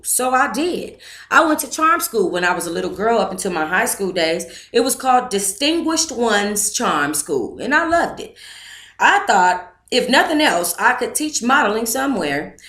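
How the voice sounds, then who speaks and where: Bright-voiced woman reading a memoir aloud. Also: medium pitch, very animated, moderate pace. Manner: reads in first person, breaks off to thank donors and comment. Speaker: book club host reading aloud.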